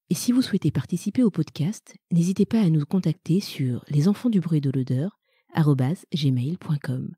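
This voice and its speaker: A woman's voice: low voice, warm tone, husky, no room sound, clean studio sound. Malagasy-native woman hosting a podcast. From Madagascar. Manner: friendly, many fillers, quick laughs. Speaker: podcast host. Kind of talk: conversational interview.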